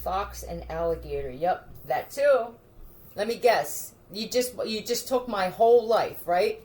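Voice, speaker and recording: A woman's voice: mid-range voice, lively intonation, unhurried, darkish tone. A woman talking in a scattered, profane monologue, addressing unseen listeners directly. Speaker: video creator. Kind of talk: rambling monologue to camera.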